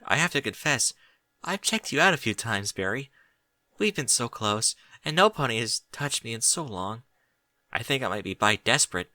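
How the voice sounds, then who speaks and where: Male reader as nervous female pony, gentle, hesitant, animated pitch, story character. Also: moderate pace, dry recording. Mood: shy confession. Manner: hushed, hesitant. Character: giggly, nervous, caring adult female pony.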